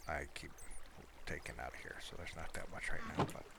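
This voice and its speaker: Man, very low voice, casual game commentary, hesitant and rambling, clear background noise. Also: brisk. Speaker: gamer showing a Minecraft world.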